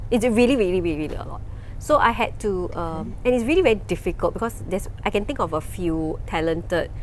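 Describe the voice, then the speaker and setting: A Mandarin-native woman with a Singaporean accent, an actor and lecturer answering casually, halting and repeating words for emphasis. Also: mid-range voice, expressive, a little mellow. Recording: noticeable background noise.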